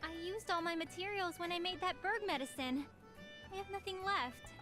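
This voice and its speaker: An excitable, very high-voiced teenage girl. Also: moderate pace. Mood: fretful, hopeful. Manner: bubbly, expressive, exclaiming. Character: eager, excitable teenage girl, budding alchemist. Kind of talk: anime-style game dub acting. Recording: music behind the voice. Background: game music.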